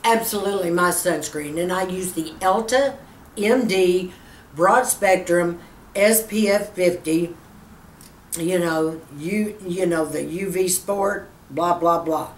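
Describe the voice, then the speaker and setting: A woman's voice: fairly low pitch, slow pace, distant mic. A woman in her fifties with a Texas accent, chatting to her viewers in a skincare Q&A and calling them girlfriend. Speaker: skincare vlogger. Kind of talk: casual Q&A to camera. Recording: echoey room, off-mic, faint hum.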